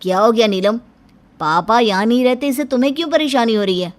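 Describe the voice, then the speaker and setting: A woman's voice: fairly high pitch, quick pace, lightly acted. A woman voicing a devoted, worried young husband in a cartoon story. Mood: puzzled. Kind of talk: Hindi cartoon story acting.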